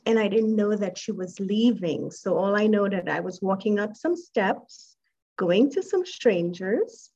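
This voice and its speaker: Slow-spoken woman from Trinidad and Tobago. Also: mid-range voice, lively intonation, slightly rough, highs cut off. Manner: reflective, unhurried, with soft laughs and hesitations. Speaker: guest. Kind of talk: personal life story in an interview.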